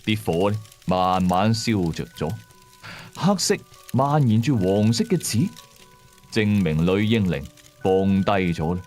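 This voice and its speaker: Low-voiced Hong Kong Cantonese male storyteller. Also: lively intonation, a little slow, a little mellow, faint music behind. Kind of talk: first-person horror story narration.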